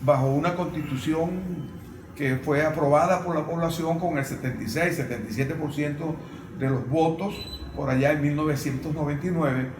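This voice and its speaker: Venezuelan man, fairly high voice, halting political analyst, roomy sound. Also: background noise. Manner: halting, explanatory. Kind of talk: unscripted political discussion. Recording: roomy, distant microphone.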